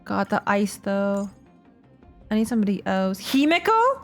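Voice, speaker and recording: A woman's voice: medium pitch, big pitch swings, a little slow. Casual woman game streamer, music behind. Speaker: streamer playing and reacting to a game. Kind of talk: casual live gaming chat, thinking aloud.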